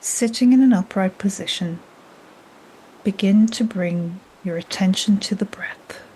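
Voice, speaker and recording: A woman guides a meditation in a mellow, breathy, soothing voice that often drops toward a whisper. She takes her time, speaking very slowly at a middle pitch. Gentle ocean waves run softly behind her. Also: low-quality recording. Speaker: yoga nidra guide. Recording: steady soft noise like ocean waves.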